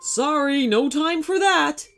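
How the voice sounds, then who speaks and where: A woman's voice: high-pitched, expressive, slow, a bit bright, faint music behind, pitched above the performer's own voice, heavily acted. A woman voicing a brave, easygoing dad in a children's story, reassuring and encouraging.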